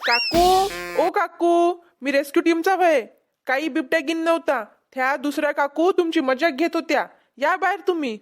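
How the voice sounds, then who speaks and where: A woman plays a suspicious, self-assured rescue worker in an amateur cartoon. The voice is high, with a Vidarbha Marathi accent and an instructing, questioning delivery. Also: moderate pace, soft background music. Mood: brisk. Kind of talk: amateur cartoon comedy voice acting.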